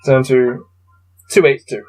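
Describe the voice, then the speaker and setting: A man reading a gamebook aloud and adding low-key, wry muttered commentary as he plays. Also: fairly even intonation, measured pace, a little mellow, faint music behind. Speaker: solo gamebook player and reader.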